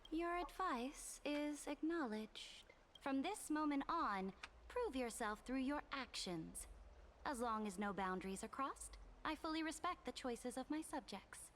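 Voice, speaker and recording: A proud young video game empress with a higher voice that swings widely in pitch. She is commanding, amused and haughty, and takes her time over her words. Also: lively intonation, fairly bright tone, a little hiss or hum. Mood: regal, commanding. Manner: regal, measured, haughty. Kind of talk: dramatic video game voice acting.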